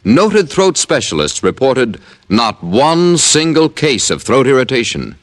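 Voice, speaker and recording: A man's voice: medium pitch, big pitch swings, a little slow. A man with an American accent announcing emphatically in 1950s radio advertising style.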